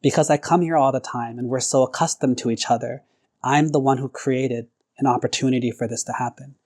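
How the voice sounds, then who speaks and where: A man voicing a calm, selfless monk in a religious story, measured, plain-spoken and resolute. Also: mid-range voice, lightly acted. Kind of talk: acted quotes in a religious story.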